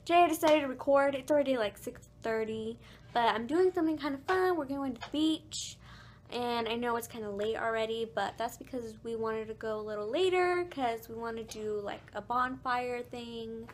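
Young woman w a raspy, slightly high voice, filming herself on her phone in her bedroom, faint hiss behind. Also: moderate pace. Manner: chatty and apologetic, many ums and likes. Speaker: vlogger. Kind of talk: casual vlog chat. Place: bedroom at home. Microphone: phone held at arm's length.

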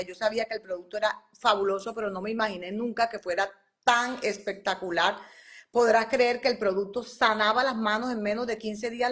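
A woman's voice: medium pitch, a bit bright. A woman, talking as a guest on a live video call and animatedly acting out quoted dialogue as she tells stories. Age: 40s. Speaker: guest doctor and skincare entrepreneur. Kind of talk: casual live interview. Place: home room by a bright window. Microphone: phone on video call.

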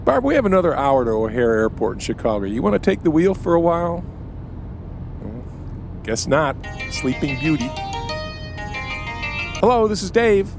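An actor playing a calm, kindly, prayerful husband in a family audio drama. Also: male voice, on the high side, moderate pace, long pauses, over music. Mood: casual, tired.

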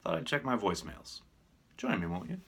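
A man's voice: fairly low pitch, very animated, a little fast, creaky, a bit bright, breathy edge. A middle-aged American man chatting casually about sports to camera as a reporter hosting a segment. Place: small furnished room at home, indoors.

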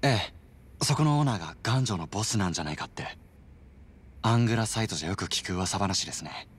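An actor playing a helpful young tech researcher in a video game, explaining politely and informally. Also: male voice, lowish voice, lively intonation, fast talker, a little hiss or hum, close to an everyday voice. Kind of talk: Japanese video game cutscene acting.

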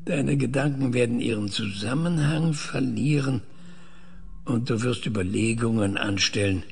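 A man acting as a methodical male scientist in a sci-fi radio play. His voice is gravelly and husky, and he speaks deliberately with varied intonation, probing clinically and keeping control. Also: medium pitch, full character voice. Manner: measured, deliberate, clinical questioning. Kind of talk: science fiction audio drama.

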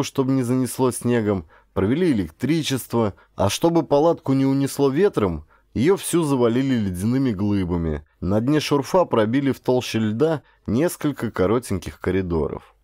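A man's voice: lowish voice, a little mellow, dry recording, clean studio sound. A man reading a first-person adventure story as a scripted audiobook narration.

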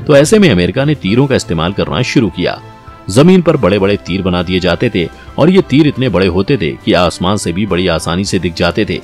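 A man reading a scripted documentary-style explainer, talking straight to the listener in an explanatory way. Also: low voice. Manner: explanatory, addresses the listener directly. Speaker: narrator of an explainer video. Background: background music.

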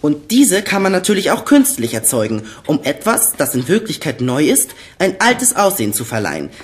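A woman's voice: low voice, huge pitch swings, moderate pace. A woman voicing a clever, lecturing boy in a radio play. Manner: lecturing, precise. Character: clever know-it-all boy, sleepy. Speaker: Justus.

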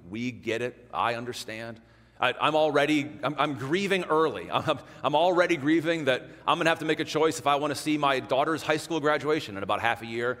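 A man in his forties preaching a sermon in a large church. He speaks conversationally with animated gestures and drops to a hushed voice for emphasis. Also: mid-range voice. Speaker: pastor. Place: large church sanctuary in front of an altar. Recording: long church reverb.

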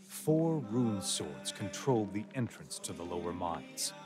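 An actor playing a grave adventurer narrating a quest in a fantasy video game, as a scripted storyteller. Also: male voice, low voice, sing-song, unhurried, over music. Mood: grave, measured.

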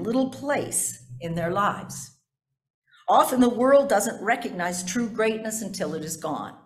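Older woman preaching in an echoey church. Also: medium pitch. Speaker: pastor preaching a sermon. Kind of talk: sermon read from notes, with asides to the congregation. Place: indoors in a church sanctuary at a pulpit. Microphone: gooseneck pulpit microphone.

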